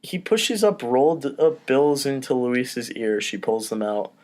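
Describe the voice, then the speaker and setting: A man's voice: mid-range voice, sing-song, measured pace, a little mellow. A man reading stage directions at a casual comedy table read, offhand and breaking off for asides. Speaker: podcast host, script narrator.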